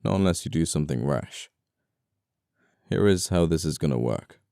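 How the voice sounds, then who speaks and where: A very low, gravelly male voice, an actor's, plays a gentleman killer in an audio roleplay. He is amoral yet apologetic and flirty, menacing his captive with unhurried, wry courtesy. The sound is dark and dry, close on the mic. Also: animated, dry recording, heavily acted. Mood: calm threat.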